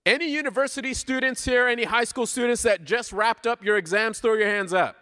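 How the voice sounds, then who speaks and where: A high-voiced Canadian man preaches informally with a bright, forceful delivery. He is playful and conversational, often putting questions to the congregation. His voice rings out through a hall with long reverb. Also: fairly quick. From Southern Ontario, Canada. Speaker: guest preacher. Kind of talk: informal sermon with audience interaction. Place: large church hall, speaking to a congregation.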